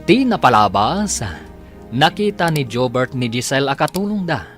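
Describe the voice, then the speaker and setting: Ilocano guy announcer for radio drama, animated dramatic narration, music behind him. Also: mid-range voice, normal speed. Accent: Filipino, Ilocano. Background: background music.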